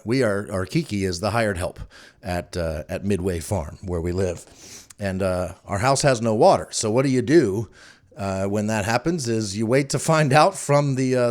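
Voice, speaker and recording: Low-voiced middle-aged man, casual podcast host. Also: darkish tone. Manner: chatty, conversational storytelling. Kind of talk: casual solo podcast monologue.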